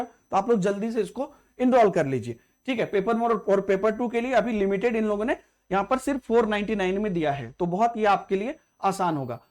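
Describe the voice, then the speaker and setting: A man, teaching as an exam prep tutor who walks through an exam paper step by step and repeats key points to check understanding. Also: high voice.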